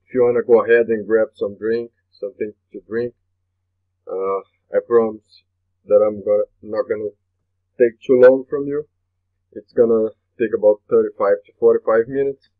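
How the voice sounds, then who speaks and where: A man's voice: fairly low pitch, fairly even intonation, moderate pace. A man from Brazil with a Brazilian Portuguese accent, a native Portuguese speaker, hosting a motivational webinar in an earnest manner and often repeating his phrases. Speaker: webinar host. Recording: tinny phone-like webinar audio.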